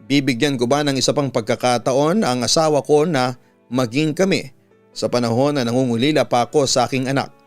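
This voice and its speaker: A man with a Filipino accent reading listener letters as a scripted story narration and giving advice as a radio host. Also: on the high side. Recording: dull audio with music behind. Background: music bed.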